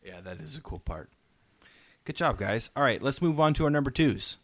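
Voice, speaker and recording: A man's voice: mid-range voice, lively intonation, normal speed, fairly bright tone. A man hosting a podcast discussion, talking casually and enthusiastically in informal, slangy language. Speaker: podcast host. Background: music playing faintly.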